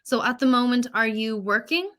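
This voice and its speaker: A woman from Ireland, leading a relaxed online English lesson. She asks patient, encouraging follow-up questions in a slightly high voice. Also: normal speed. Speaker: English tutor. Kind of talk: online tutoring conversation.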